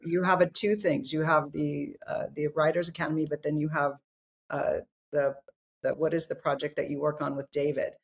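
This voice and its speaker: A woman hosting a podcast interview, effusive and quick to react. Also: low voice, level tone. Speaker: podcast host. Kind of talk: casual interview talk.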